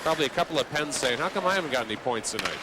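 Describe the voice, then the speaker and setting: A man's voice: medium pitch, expressive, racing. A man doing live radio play-by-play of a hockey game, wordy and wry, slipping conversational asides between the calls. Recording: live arena broadcast with background noise.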